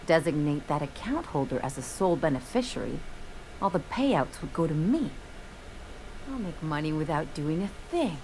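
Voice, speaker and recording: An actress playing a brash, bitter young adventurer in a video game, with a sardonic, theatrical way of telling stories. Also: female voice, low-pitched, a little mellow, a little hiss or hum, poor audio. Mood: scheming. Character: brash young adventurer woman, bitter, dramatic, estranged from parents.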